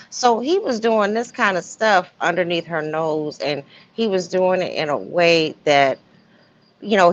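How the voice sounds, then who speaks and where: Lowish-voiced American woman hosting a stream. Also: no echo. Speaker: live stream host. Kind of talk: casual live stream chat. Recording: dull lo-fi stream audio.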